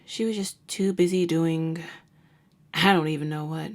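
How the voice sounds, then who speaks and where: A woman's voice: low voice, fairly even intonation. A woman playing a jaded, sardonic vampire hunter who works as a nurse, talking in a wry, slangy way in an ASMR roleplay. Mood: wry, confessional. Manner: wry, casual, slangy, unhurried. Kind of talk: ASMR roleplay audio drama.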